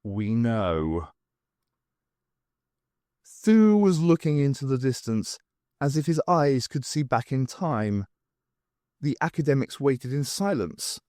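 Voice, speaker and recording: A man's voice: medium pitch, expressive, unhurried, a little mellow, dry recording, clean studio sound. A man reading a science fiction story aloud as scripted audiobook narration. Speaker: audiobook reader.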